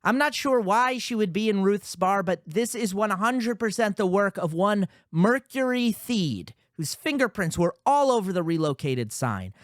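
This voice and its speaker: A woman acting as a no-nonsense ship security officer in a sci-fi radio drama. Her mid-pitched voice swings widely with exasperation, and the sound is dry and close. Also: expressive, moderate pace, hardly any pauses, no echo, lightly acted. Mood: exasperated, insistent.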